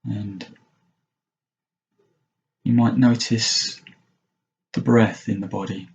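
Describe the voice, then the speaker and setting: A man guides a mindfulness meditation in a low, creaky voice. He speaks gently, leaving long pauses between short phrases. The recording is dull and slightly muffled. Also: fairly even intonation. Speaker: meditation teacher.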